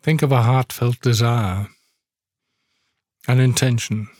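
A man guides a meditation in a medium-pitched voice, moving very slowly through each instruction. He leaves long, soothing pauses between phrases. The recording is close and dry, with almost no room sound. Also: fairly even intonation, a little mellow, dry recording. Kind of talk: guided yoga nidra meditation.

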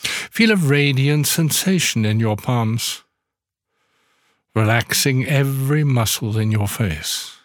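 A man guides a meditation in a medium-pitched voice, moving very slowly through each instruction. He leaves long, soothing pauses between phrases. The recording is close and dry, with almost no room sound. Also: lively intonation, dry recording. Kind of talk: guided yoga nidra meditation.

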